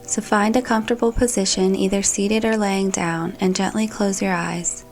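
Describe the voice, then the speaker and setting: A woman's voice: medium pitch, normal speed. Woman guiding meditation over music. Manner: calm, gentle, soothing delivery. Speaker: meditation guide. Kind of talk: guided meditation narration, scripted. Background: background music.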